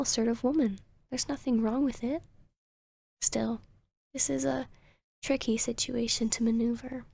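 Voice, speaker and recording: Woman acting as female vampire therapist, ASMR roleplay, soft near-whisper, fairly high pitch, creaky, calm, professional, soothing. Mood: reassuring, measured. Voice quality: breathy, soft. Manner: gentle, measured, professional, often near-whispering.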